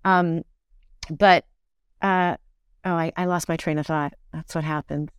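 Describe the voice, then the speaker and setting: A middle-aged woman telling stories casually as an interview guest, animated, rambling and self-deprecating. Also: low voice, a little slow, smooth, no room sound, studio quality. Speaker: interview guest, musical theatre performer and teacher. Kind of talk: casual conversational storytelling.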